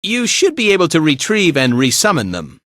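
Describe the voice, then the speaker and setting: A man's voice: fairly high pitch, wildly swooping pitch, moderate pace, a bit bright, no echo, studio quality. A man playing a spirited, laid-back fighter and guide in a video game, with a breezy, colloquial way of talking. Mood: easygoing, instructive. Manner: breezy, colloquial, tagging lines with casual check-ins. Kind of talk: animated video game voice acting.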